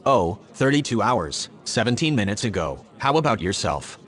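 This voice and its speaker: A man's voice: on the low side, big pitch swings, moderate pace, a bit bright. A man playing an obliging, friendly domestic AI in an audio drama, matter-of-fact and literal. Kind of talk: scripted audio drama dialogue.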